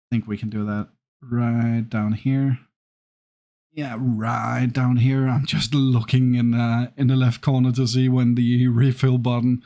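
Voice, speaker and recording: A man with a Scandinavian accent carrying traces of Irish talks his way through a game. His speech is slow and unhurried, full of pauses and restarts, at a middle pitch. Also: warm tone. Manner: hesitant, many ums and restarts, unhurried. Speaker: gaming YouTuber. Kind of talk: casual gameplay commentary.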